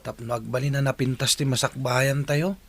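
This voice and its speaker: Man playing young male student, radio drama, gravelly mid-range voice, motivated, earnest. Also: mid-range voice, fairly even intonation, normal speed, fairly bright tone. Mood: proud, earnest. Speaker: voice actor.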